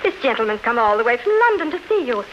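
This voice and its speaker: An actress playing a gentle, coaxing motherly lady in a genteel 1930s radio drama style. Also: a little high, very animated, fast talker, a little hiss or hum. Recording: dull vintage radio recording.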